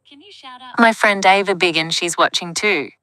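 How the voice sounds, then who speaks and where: A synthetic woman's voice reads chat messages aloud, flat and even. The tone is bright and crisp, with a clean, dry sound. It sounds computer-generated. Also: medium pitch, normal speed, no room sound, rough recording. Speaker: text-to-speech chat reader.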